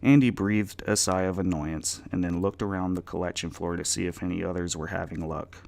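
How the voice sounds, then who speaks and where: Deep, gravelly male audiobook narrator. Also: fairly even intonation, brisk, a little mellow. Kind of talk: dramatic reading of scripted fiction.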